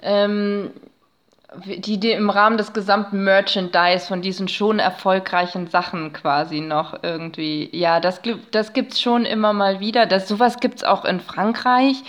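A woman's voice: mid-range voice, steady tone, measured pace. A woman speaking as a guest expert in a casual interview, explaining things reflectively in academic wording mixed with colloquial asides.

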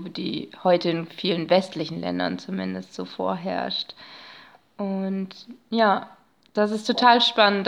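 A woman speaking as a guest expert in a casual interview, explaining things reflectively in academic wording mixed with colloquial asides. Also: medium pitch.

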